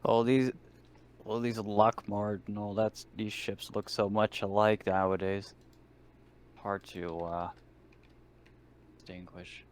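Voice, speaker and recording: A man's voice: lowish voice, level tone, slow pace, pauses often. A man chatting casually as a player in a tabletop roleplaying game.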